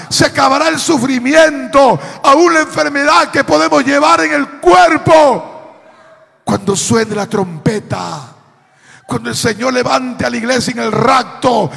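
A middle-aged man preaching an impassioned sermon as a pastor, declaiming emphatically with repeated phrases in a raspy voice. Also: extremely high pitch, sharp tone. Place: church hall with congregation, large indoor room. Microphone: handheld microphone through PA. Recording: echoey hall PA with long reverb.

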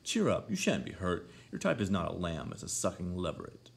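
A man with a deep, gravelly voice voicing a proud, fiery young lady of the manor in an audiobook, scornful and imperious. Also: wildly swooping pitch, brisk, heavily acted. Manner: scornful, imperious, rising to shrill pleading. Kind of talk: audiobook character voice.

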